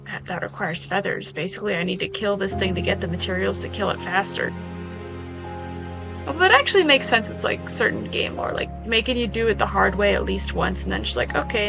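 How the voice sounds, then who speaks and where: A woman with a slightly hoarse voice giving casual, chatty commentary while she plays a survival game, making wry asides to herself. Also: mid-range voice. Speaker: gaming video creator.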